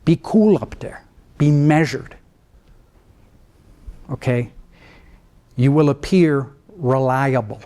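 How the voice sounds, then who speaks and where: A middle-aged man, a trial lawyer, lecturing law students in an animated way, swinging between a low calm voice and sudden raised mimicry. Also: takes it slowly, pauses often. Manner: animated, mimics other lawyers with rising pitch, then drops low to show restraint.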